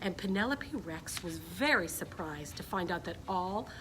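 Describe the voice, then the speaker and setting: Chatty woman over clear background noise. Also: on the low side, animated, moderate pace. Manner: chatty, informal, often checking in with young listeners. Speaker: coach hosting a children's story time. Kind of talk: casual livestream chat and picture-book read-aloud.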